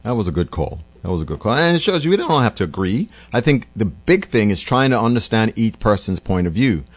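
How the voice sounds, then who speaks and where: A middle-aged man hosting a radio call-in show, listening warmly to callers and then reflecting at length. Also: lowish voice, big pitch swings, a little fast, no room sound. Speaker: radio talk host.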